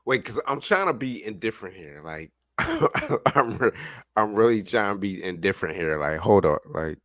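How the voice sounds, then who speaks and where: Guy rambling reaction commentary, slangy and amused, low voice, really dry close sound. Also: sing-song, normal speed, a bit bright, no room sound. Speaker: reaction streamer. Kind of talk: casual off-the-cuff reaction commentary.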